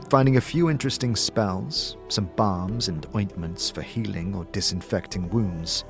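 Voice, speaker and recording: A man narrates a fantasy tale like a game master guiding a player. His voice sits a little low and moves with animated, expressive pitch. Soft music plays underneath. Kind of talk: scripted second-person fantasy audio drama narration.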